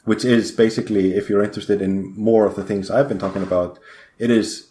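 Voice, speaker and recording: A man's voice: lowish voice, steady tone, a little fast, mellow, echoey room. A man from Iceland, a guest programmer chatting in a podcast interview, rambling with lots of fillers. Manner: rambling, hesitant with many fillers.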